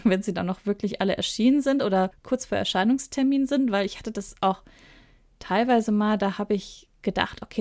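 A woman's voice: medium pitch, darkish tone, no echo, studio quality. Chatty thirty-year-old woman podcaster. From Ruhr area, Germany. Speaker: podcast co-host. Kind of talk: casual podcast chat.

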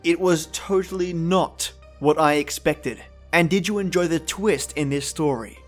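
Podcast host, mid-pitched voice, casual outro, faint music underneath. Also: unhurried.

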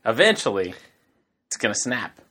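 A man's voice: fairly low pitch, sing-song, normal speed, pushed and bright, husky. A man in his thirties co-hosting a casual comedy podcast, defending himself with incredulous, joking banter. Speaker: podcast co-host.

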